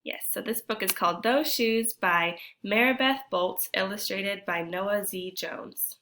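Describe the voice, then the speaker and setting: A woman teacher reading a picture book aloud to children, expressive and deliberate, pausing to put questions to her young listeners. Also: mid-range voice, slight background noise. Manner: addresses children directly, deliberate and animated.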